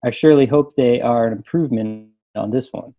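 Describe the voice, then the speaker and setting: A man's voice: mid-range voice, a little fast, highs cut off. A man chatting casually on a book panel and reading short written reviews aloud.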